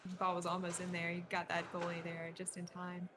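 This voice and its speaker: A woman's voice: lowish voice, completely flat delivery, brisk. Woman giving casual color commentary. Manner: conversational, knowledgeable color commentary. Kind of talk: live table soccer commentary. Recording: live venue noise behind.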